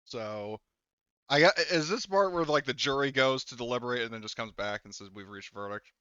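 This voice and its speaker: A man runs a tabletop game in a loose, informal, sweary ramble. His mid-pitched voice is clean and resonant. The sound is close and dry, a little muffled. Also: fairly quick, sharp tone, clean tone, no room sound. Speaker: game master. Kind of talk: casual tabletop game narration.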